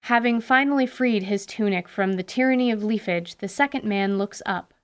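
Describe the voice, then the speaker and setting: A woman's voice: mid-range voice, normal speed, clean tone. A woman giving dramatic, scripted narration for a fantasy radio play. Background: music.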